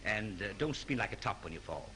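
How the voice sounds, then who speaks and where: A brisk, authoritative film director, with a bright tone and lively pitch. Faint hiss lies under the 1930s soundtrack. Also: male voice, rough recording. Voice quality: curt, authoritative orders. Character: brisk male film director, curt and in charge.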